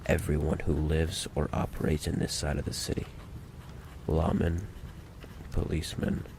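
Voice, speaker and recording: A man playing a menacing, impatient mafia boss in an ASMR roleplay, taunting the listener with slow, mock-courteous threats in a gravelly voice. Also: darkish tone, slight background noise. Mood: controlling, suspicious. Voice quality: deep, gravelly. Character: menacing, possessive male mafia boss, smooth-talking and impatient.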